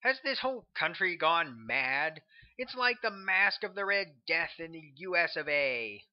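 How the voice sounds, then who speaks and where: Man doing an agitated, high-pitched radio host. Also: very animated, unhurried, dull audio. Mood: indignant. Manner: exclaiming, indignant. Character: agitated, indignant male conspiracy radio talk host.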